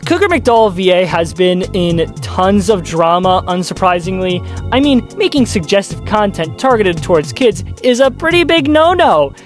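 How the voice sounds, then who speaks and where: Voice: mid-range voice, big pitch swings, moderate pace, few pauses. Casual video essay host, middle register, dry sardonic asides, music underneath. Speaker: host of a video commentary channel. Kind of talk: casual scripted video commentary.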